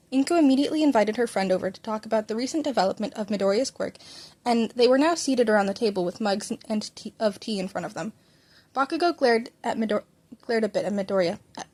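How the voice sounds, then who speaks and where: A woman's voice: mid-range voice, quick pace, darkish tone. A woman narrating a story as an amateur reader, informal, often stumbling over words and correcting herself. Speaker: fan fiction reader and narrator.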